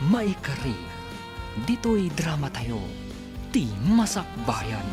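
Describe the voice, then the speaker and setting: A man's voice: on the high side, very animated, slow, music in the background. A man with a Filipino accent narrating a scripted radio drama serial as its announcer.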